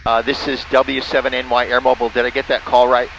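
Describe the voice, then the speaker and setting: A man's voice: medium pitch, flat delivery, quick pace, gravelly, muffled recording. A man narrating a flight casually and making amateur radio calls as a pilot from a small airplane cockpit. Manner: spells out callsigns in phonetic alphabet. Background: faint vehicle engine noise.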